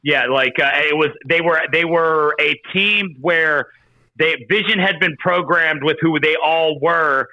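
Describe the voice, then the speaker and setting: A man's voice: a little high, unhurried, no room sound. A man chatting casually as a panelist about comic books, heard through a dull, band-limited recording. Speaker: podcast panelist.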